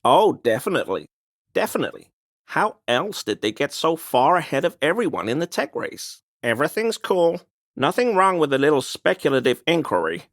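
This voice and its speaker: A man's voice: a little high, sing-song, moderate pace, a bit bright, no echo, studio quality. An actor playing a smug, conspiracy-minded man in a video game, speaking in an insistent, knowing, insinuating way. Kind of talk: video game dialogue voice lines.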